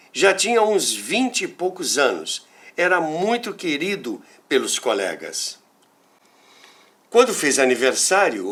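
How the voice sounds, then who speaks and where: Older Brazilian man, animated, unhurried storyteller. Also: fairly high pitch. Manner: theatrical, deliberate, with dramatic pauses and asides to listeners. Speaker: storyteller, former radio worker. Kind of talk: animated anecdotal storytelling podcast narration.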